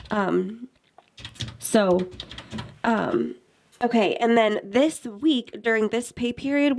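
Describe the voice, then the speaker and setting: A young woman in her mid-twenties talks to camera in a friendly, chatty way, her voice a little congested from a head cold. Her pitch sits in an ordinary middle range, and there is a gravelly fry at the ends of her phrases. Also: darkish tone. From Maine, US. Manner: chatty and effusive, thanks viewers often. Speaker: budgeting YouTuber. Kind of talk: casual chat to camera.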